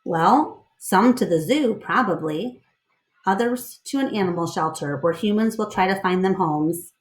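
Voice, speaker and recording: A woman's voice: mid-range voice, unhurried, reverberant, lightly acted. A woman voicing a small, casual, wry male dog who is a loyal friend in a storybook.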